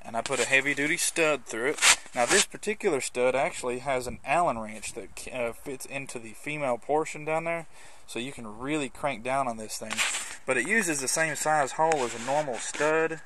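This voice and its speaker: A man's voice: medium pitch, moderate pace. Man casually explaining, faint noise behind. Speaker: host of a how-to video on CB radio installation. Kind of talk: casual hands-on walkthrough. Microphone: handheld camera's built-in microphone. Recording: clicky handheld camera audio, background noise.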